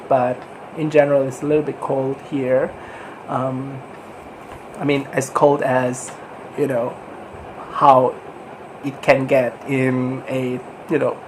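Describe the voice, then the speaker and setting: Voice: medium pitch, slight background noise, low-quality recording. Sniffly book vlogger with a cold. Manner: chatty and rambling, with frequent little laughs. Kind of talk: casual book review chat.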